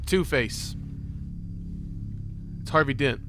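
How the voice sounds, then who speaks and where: A man's voice: mid-range voice, slow pace. A man giving casual, slangy reaction commentary, thinking aloud, exclaiming and reading text off a screen. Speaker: reaction video host. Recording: music playing behind the voice.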